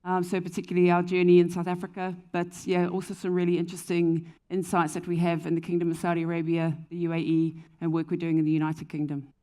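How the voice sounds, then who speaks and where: New Zealand woman with flat, low voice. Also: quick pace, hardly any pauses. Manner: fluent, frequent "um" fillers. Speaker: panelist, company founder. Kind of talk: panel discussion answer. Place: conference stage before an audience.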